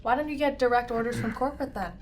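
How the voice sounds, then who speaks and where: A woman's voice: on the high side, steady tone, quick pace, soft background music, natural voice. A woman playing a wary, stubborn spaceship officer in a tabletop game, blunt and defiant in the way of talking. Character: defiant, distrustful ship's officer, foul-mouthed haggler. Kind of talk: improvised tabletop roleplay acting.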